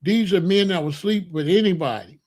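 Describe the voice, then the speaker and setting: Emphatic older man, high voice. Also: moderate pace, a little mellow. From Chicago, USA. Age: 60s. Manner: emphatic, repetitive, blunt street talk. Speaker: commentator. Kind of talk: opinionated monologue.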